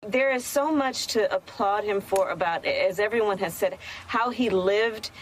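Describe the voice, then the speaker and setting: A woman's voice: mid-range voice, measured pace, slight background noise, poor audio. A woman giving a spoken tribute in conversational remarks, stressing phrases by repeating them. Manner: emphatic, repeating phrases for weight.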